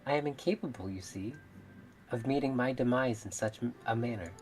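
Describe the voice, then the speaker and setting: A woman voicing a mermaid in a visual novel, measured, formal and coolly reasonable, politely menacing. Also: extremely low pitch, darkish tone. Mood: serenely menacing. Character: calm, menacing female mermaid, politely murderous. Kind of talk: visual novel character read-aloud. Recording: music behind the voice.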